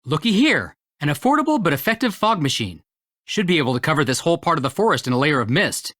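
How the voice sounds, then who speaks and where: An eager, earnest amateur ghost hunter in a mystery game. The delivery swings expressively with hopeful pride, and the recording is close and dry. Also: female voice, low-pitched, very animated, fast, fairly bright tone, dry recording, exaggerated voice. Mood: excitable, intrigued. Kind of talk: video game voice acting.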